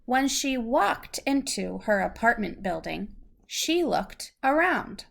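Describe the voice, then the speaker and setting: A woman reads a children's story aloud at a very slow, measured pace. Her voice sits a little high and carries noticeable creak. She phrases things simply and patiently, like someone teaching a small child. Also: sing-song, a bit bright. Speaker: adult reading a story aloud to a young child.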